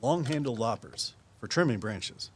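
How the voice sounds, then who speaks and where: An actor playing a guarded, knowledgeable woodsman in an audio drama, explaining things in a measured, folksy way. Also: mid-range voice, lively intonation, slight background noise. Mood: wry. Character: Walt, guarded, knowledgeable woodsman and conservation volunteer. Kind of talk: full-cast audio drama dialogue.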